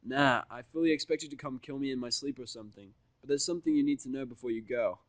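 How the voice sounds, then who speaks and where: A man's voice: medium pitch, fast, dry recording, lightly acted. A man playing a defiant, foul-mouthed human who bluffs and scolds in a brash, sarcastic, confrontational way in an audio story.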